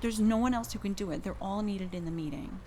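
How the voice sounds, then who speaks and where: An actress playing a friendly, apologetic camp chaperone in an audio drama, casual and placating. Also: female voice, mid-range voice, fast, dark tone, a little hiss or hum, natural voice. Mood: apologetic, coaxing.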